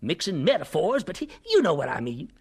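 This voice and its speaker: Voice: medium pitch, wildly swooping pitch, normal speed, breathy edge, full character voice. An actor playing a folksy, pushy, self-satisfied practical man in a radio drama, with a blustering way of talking. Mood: blustering, scheming.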